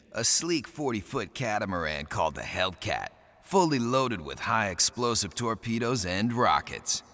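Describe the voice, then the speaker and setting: A brash, animated young pirate captain. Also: male voice, sits low, full character voice. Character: cocky, vengeful young male pirate captain. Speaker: video game protagonist and narrator. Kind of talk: dramatic game cutscene acting and narration.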